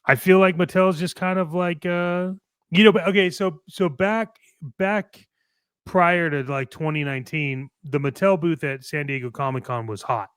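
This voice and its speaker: A man with a clear, smooth voice and a close, dry sound, chatting casually as a talk show co-host. He is blunt and opinionated in how he puts things. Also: a little high, moderate pace, a bit bright, no room sound. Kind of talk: casual chat about toy collecting.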